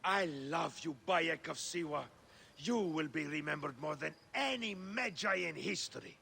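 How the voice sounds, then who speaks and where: An actor playing an excitable, effusive male revolutionary poet in a video game, with theatrical delivery and echoey game audio. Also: fairly high pitch, a little slow, creaky, a little hiss or hum, full character voice. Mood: gushing.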